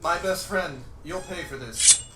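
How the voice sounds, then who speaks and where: A man's voice: a little high, unhurried, close to an everyday voice. A man voicing a tough, earnest, rather dim action hero in a mock screenplay. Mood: vengeful. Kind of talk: mock screenplay read-aloud. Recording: roomy, distant mic, faint noise.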